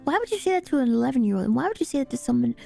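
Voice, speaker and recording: Woman VTuber, very high voice, slow-paced casual chat, giggly and offhand, quiet music underneath. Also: very animated, creaky. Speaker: VTuber streamer. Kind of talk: casual stream chat and recap.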